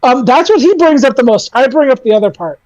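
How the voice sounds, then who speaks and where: A woman podcast guest in casual chat, with a loud voice, hesitant and full of fillers. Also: sits high, expressive, fast, dry recording, muffled recording.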